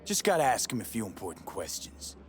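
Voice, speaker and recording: A man's voice: lowish voice, big pitch swings, brisk, soft background music. Laid-back male mercenary. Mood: casual. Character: male mercenary, easygoing and direct.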